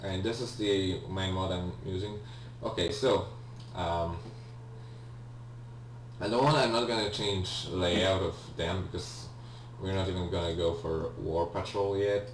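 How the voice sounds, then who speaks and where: A man's voice: low voice, fairly even intonation, a little slow. A young man with a Polish accent, a native Polish speaker, giving hesitant casual commentary on a game he is playing. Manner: hesitant, many ums and restarts, apologetic. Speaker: let's play gamer. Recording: echoey room, distant mic, faint hum.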